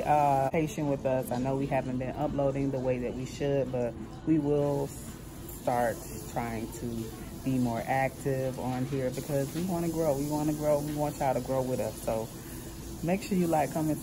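A woman's voice: very deep voice, noisy background. A middle-aged woman hosting a family vlog, chatting upbeat and slangy into a phone held close in a hair salon. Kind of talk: casual vlog sign-off to camera. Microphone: phone camera held close. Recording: background music over the voice. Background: music.

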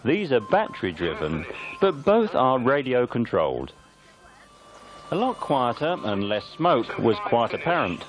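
A man's voice: mid-range voice, wildly swooping pitch, unhurried. A man narrating a local amateur newsreel from a script. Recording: band-limited, dull lo-fi videotape sound.

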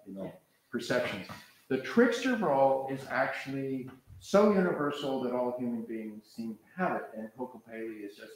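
An older man from the American Pacific Coast lectures in a big hall, his middle-pitched voice bouncing around with heavy echo. He talks in a loose, digressive way, recorded from a distance. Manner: conversational, digressive, gestures while pacing. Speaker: professor leading a master class. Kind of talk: lecture to a seated audience. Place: large lecture hall with red seats. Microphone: far camera.

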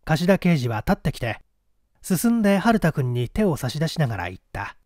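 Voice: mid-range voice, big pitch swings, a little fast, darkish tone, no echo, studio quality. An audiobook narrator reading a story theatrically, addressing listeners in a formal storyteller manner.

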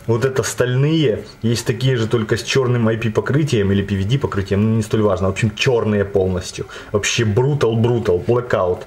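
A hoarse man giving a chatty, enthusiastic, slangy unboxing review of a watch, talking from off camera at a table. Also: fairly low pitch, fairly quick, hardly any pauses, a little mellow.

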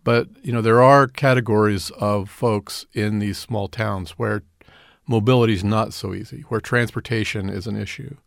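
A man's voice: fairly low pitch, steady tone, normal speed, gravelly, no room sound, clean studio sound. A middle-aged man answering radio interview questions earnestly, often repeating and restarting words. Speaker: community development director, guest.

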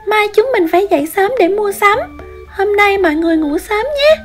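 A woman voicing a cheerful little yellow girl crayon in a cartoon, with a squeaky, high voice. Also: expressive, fairly quick, music in the background. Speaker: cartoon character. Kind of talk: cartoon voice acting.

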